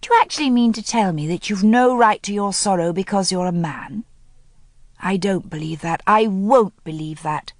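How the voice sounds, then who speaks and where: A woman's voice: medium pitch, expressive, measured pace, no echo, studio quality. A woman reading a spirited, pressing noblewoman. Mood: exasperated. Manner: earnest and pressing, rising in exasperation. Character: aristocratic woman, worried friend, spirited. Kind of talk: audiobook character reading.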